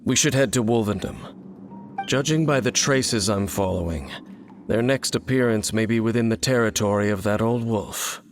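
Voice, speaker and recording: Man voicing a low, solemn, enigmatic wanderer. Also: faint music behind, close to an everyday voice. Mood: cool, businesslike. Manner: measured, solemn, aloof. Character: enigmatic, brooding, world-weary male wanderer of ancient knowledge. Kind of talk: video game voice acting.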